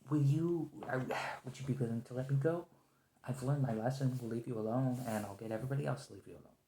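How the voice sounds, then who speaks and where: In a roomy, echoing space, a man plays a nervous agent of a secret organization in an ASMR roleplay. The voice is soft-edged and dark, with a gravelly fry, and it moves haltingly and politely, always trying to smooth things over. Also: mid-range voice, slight background noise, close to an everyday voice. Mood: pleading, conciliatory. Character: nervous, conciliatory male agent of a secret organization negotiating with a creature. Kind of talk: ASMR roleplay, improvised one-sided conversation.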